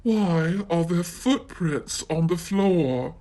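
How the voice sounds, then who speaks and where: Soft, low-voiced mommy bear from a storybook, voiced by a narrator; gentle, slow. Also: animated.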